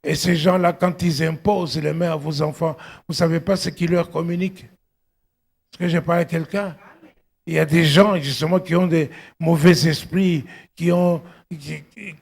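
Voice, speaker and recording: A middle-aged man preaching as a pastor, emphatic and exhortative, often calling out to his congregation, with a husky, gravelly voice. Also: a little high, fairly even intonation, normal speed. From outside Belgium, arrived at eighteen. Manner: emphatic, punctuated with repeated exclamations and questions to congregation. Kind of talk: exhortative sermon.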